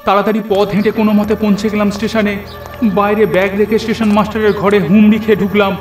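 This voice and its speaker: A man's voice: sits high, level tone, fast talker, darkish tone. A man narrating a scripted horror story in the first person, theatrical and suspenseful. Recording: echoey, music underneath.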